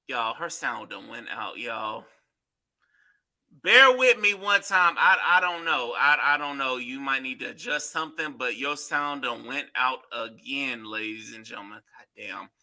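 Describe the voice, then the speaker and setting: A man's voice: medium pitch, pushed and bright. A man hosting a podcast, talking loosely in casual chat and swearing freely. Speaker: podcast host.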